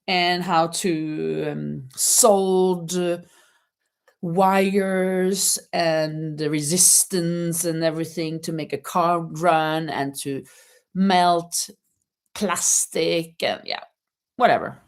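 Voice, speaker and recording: A middle-aged woman chatting on a livestream while she crochets. She talks slowly and unhurriedly, laughs often, and reads viewers' comments aloud in a friendly way. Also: fairly low pitch, steady tone. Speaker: livestream host. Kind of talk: casual live chat while crocheting. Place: home in Spain.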